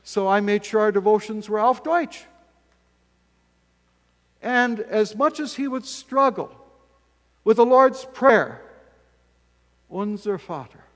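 An older man preaching from a church pulpit, folksy and emphatic, poking fun at himself as he goes. His voice sits a little high for a man, and it rings out with a long hall reverb that fills the sanctuary. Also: fairly bright tone. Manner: folksy, self-deprecating, emphatic. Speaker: pastor. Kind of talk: sermon. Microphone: fixed camera from across the church.

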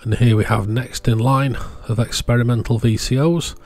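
A man's voice: medium pitch, steady tone, moderate pace, soft background music. A man giving a casual, plain-spoken voice-over, explaining hobby electronics as the channel's presenter. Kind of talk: casual product showcase voice-over.

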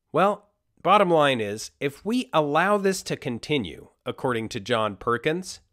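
A man from Costa Rica delivers an emphatic monologue. His voice sits a little high and moves a lot, leaning in with each claim. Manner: animated, emphatic, conspiratorial. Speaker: podcast host. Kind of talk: monologue commentary.

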